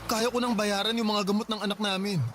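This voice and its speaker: Polite worried young dad, soft and respectful, bit high, music playing under. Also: male voice, level tone, quick pace. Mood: earnest. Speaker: character in a radio drama.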